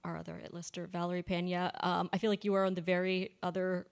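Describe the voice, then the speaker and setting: Female moderator, informal and reassuring, a little low-pitched, gravelly edge. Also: level tone, fast. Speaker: panel moderator. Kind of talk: casual panel moderating.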